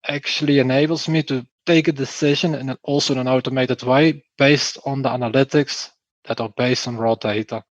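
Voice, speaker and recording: Man, Flemish accent, mid-pitched voice, emphatic explainer, podcast guest, dry lo-fi recording. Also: dry recording. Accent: Flemish Belgian. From Belgium, Flanders. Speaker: podcast guest, performance testing engineer. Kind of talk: explanatory interview talk.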